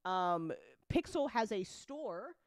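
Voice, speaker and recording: A woman hosting a casual livestream chat as an audio drama creator, animated and joking, with informal, sweary talk full of y'all. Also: mid-range voice, slow pace, no echo, studio quality. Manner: informal speech with frequent y'all. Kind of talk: casual livestream chat answering audience questions.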